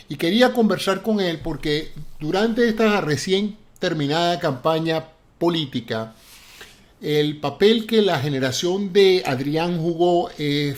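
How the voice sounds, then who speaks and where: A man hosting an interview, speaking formally and deliberately, halting at times as he introduces and questions. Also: on the high side. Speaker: interview show host. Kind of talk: interview with long spoken introduction.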